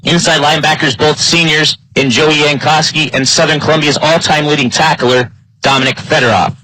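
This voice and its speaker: An American man doing live football play-by-play. His voice is bright and forceful, set a little high, and recorded close and dry. He speaks as a game announcer keeping listeners on top of the action. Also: fairly even intonation, fairly quick, no room sound, poor audio. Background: live high school football game broadcast.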